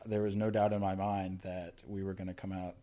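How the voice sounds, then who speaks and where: Man, lowish voice, casual sports talk, teasing, animated. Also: a little fast. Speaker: podcast co-host.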